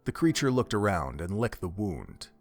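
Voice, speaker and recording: A man's voice: on the low side, very animated, moderate pace, a little hiss or hum. A man narrating an audio drama from a script, telling a story in the third person.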